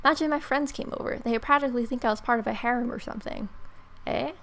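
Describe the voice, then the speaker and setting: A young woman reading story narration aloud for a game playthrough, expressive, with small stumbles. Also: on the high side, fast. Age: young adult. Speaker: let's play streamer.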